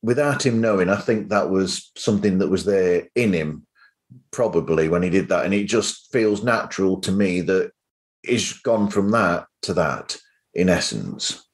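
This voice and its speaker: A man with a fairly low voice hosts a conversational interview. He thinks aloud in a reflective, rambling way, looping back over his points. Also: expressive, unhurried. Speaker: podcast host. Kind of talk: casual interview chat.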